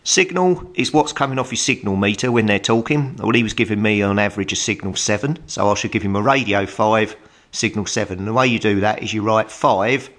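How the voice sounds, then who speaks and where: A man's voice: fairly low pitch, fairly quick, fairly bright tone. A middle-aged British man giving a casual, plain-spoken tutorial as a hobbyist presenter. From United Kingdom.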